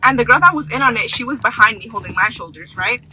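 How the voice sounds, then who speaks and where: A young woman tells a story casually, with slangy emphasis and swearing. Her voice is very bright and forceful, sitting fairly high, over faint background noise. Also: animated, quick pace, poor audio. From United States.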